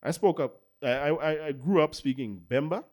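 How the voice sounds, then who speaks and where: A Zambian man hosting a casual panel chat, animated and often laughing. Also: a little high, brisk. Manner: animated, laughs often, mimics people in quotes. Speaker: podcast host.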